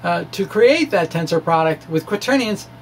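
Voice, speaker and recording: A man presenting a physics lecture informally, with animated emphasis and a halting delivery full of stammered restarts. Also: sits high, slight background noise. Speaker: amateur physicist presenting his own theory. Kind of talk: informal physics lecture, reading of a blog talk.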